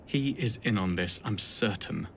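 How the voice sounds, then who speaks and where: An actor playing a stuffy, earnest Victorian police sergeant with an English accent, formal and cautious in a video game. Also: male voice, on the low side, animated, measured pace, creaky, fairly bright tone, slight background noise, full character voice. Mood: earnest briefing. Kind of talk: video game cutscene acting.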